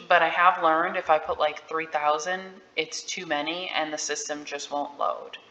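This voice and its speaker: A woman giving a casual, candid software walkthrough in an informal, unscripted way. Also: fairly low pitch. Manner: informal, candid about not being an expert. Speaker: realtor giving a software tutorial. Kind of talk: casual screen-share walkthrough. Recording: dull, roomy-sounding audio.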